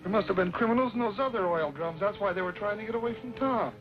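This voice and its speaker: A man acting as an excitable, folksy and loyal cowboy sidekick in an old Western drama, exclaiming indignantly. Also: high-pitched, fast, music in the background, natural voice. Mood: urgent. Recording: dull, noisy old radio recording.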